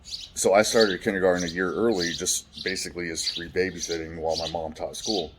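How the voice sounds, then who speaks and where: Very deep-voiced man telling his story. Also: fairly even intonation, brisk, noisy background. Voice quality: deep resonant voice. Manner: frank, swears casually, many restarts. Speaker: vlogger. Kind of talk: candid personal storytelling.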